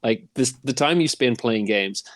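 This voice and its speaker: A man's voice: medium pitch, normal speed, no room sound, studio quality. A middle-aged man with a New Zealand accent chatting casually as a podcast guest, in a rambling, self-deprecating way. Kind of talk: casual chat.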